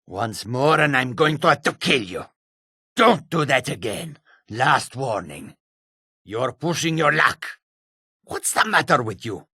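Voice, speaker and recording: An actor playing a shrewd, proud cat-folk warrior and trader in a fantasy video game, with a husky, rasping, theatrical delivery. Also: male voice, mid-range voice, very animated, measured pace, bright, forceful tone, no room sound, studio quality, exaggerated voice. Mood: threatening.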